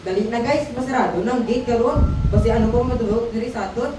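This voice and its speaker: A woman's voice: a little high, fast talker, natural voice. A young woman playing a bossy student who leads her friends, in an amateur school radio drama. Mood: brisk, eager. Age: teenager or young adult. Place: school audio-visual room. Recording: very echoey hall, distant mic, noise.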